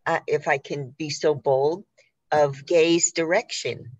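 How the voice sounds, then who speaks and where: A woman's voice: sits low, steady tone, takes it slowly, a little mellow, no room sound, highs cut off. A woman hosting a conversational interview in a polite, effusive way, heard over a video call. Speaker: host interviewing a theater cast.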